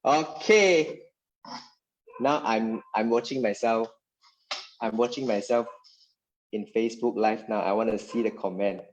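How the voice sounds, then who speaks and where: A man's voice: fairly low pitch, measured pace, pauses often, low-quality recording. A man with a Malaysian accent hosting a casual live stream, chatting and code-switching, repeating himself as he waits for viewers.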